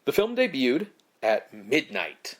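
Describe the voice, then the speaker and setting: A man co-hosting a podcast, narrating prepared film history in measured phrases with casual asides. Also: mid-range voice, wildly swooping pitch, fairly bright tone. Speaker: podcast co-host.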